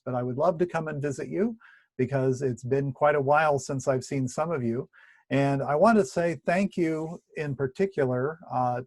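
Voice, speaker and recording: A man's voice: medium pitch, no echo. Man with a slightly scratchy voice. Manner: chatty, warm asides with many fillers and restarts. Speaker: pastor. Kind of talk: casual Bible study talk. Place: at home, indoors. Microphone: video recording at home.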